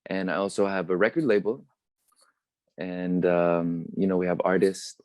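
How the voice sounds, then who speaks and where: Low-voiced young man on a video call. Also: moderate pace, no room sound. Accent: American. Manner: relaxed, mixes Tagalog phrases into English. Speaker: guest performer and entrepreneur. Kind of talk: casual interview over video call. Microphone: video call webcam.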